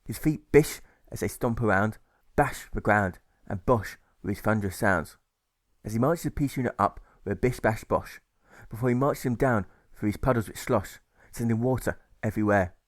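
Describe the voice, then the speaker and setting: A man's voice: fairly low pitch, moderate pace, no echo, clean studio sound. A man reading a rhyming novel aloud as scripted narration, rhythmic and emphatic on the rhymes. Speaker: author reading his own audiobook.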